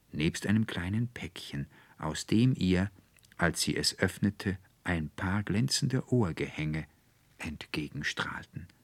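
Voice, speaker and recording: A low-voiced man reads a literary tale as an audiobook narrator. His delivery is expressive and scripted. The recording is close and dry. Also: no echo.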